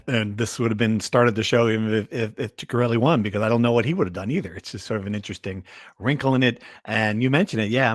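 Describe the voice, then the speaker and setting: A man's voice: fairly low pitch, no room sound, studio quality. A man hosting a casual sports talk podcast, rephrasing mid-thought and leaning on words for emphasis.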